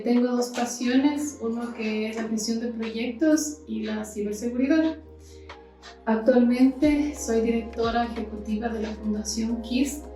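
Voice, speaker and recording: A woman's voice: fairly high pitch, dark tone. A woman whose first language is Kichwa, a guest engineer introducing herself formally and carefully. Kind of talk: self-introduction. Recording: echoey room, distant mic, faint music.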